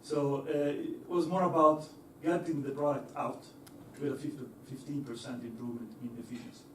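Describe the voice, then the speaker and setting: Young guy giving a talk, a bit high voice, lots of uhs, echoey hall. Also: steady tone, a little fast, a bit bright, recorded from a distance, slight background noise. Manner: hesitant, many fillers and restarts, laughs easily. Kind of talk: presentation to an audience. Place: lecture hall.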